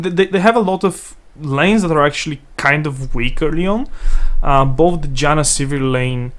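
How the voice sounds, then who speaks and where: A man's voice: mid-range voice, fairly bright tone. A man giving casual analysis as an esports commentator, often stammering and repeating words as he reasons aloud. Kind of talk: casual live game commentary.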